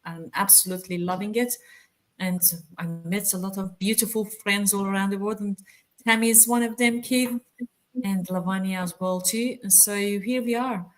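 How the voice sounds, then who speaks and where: A woman's voice: mid-range voice, fairly even intonation, moderate pace. A woman in her fifties living in Australia, introducing herself on a video call in a bubbly, chatty way full of ums. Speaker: guest.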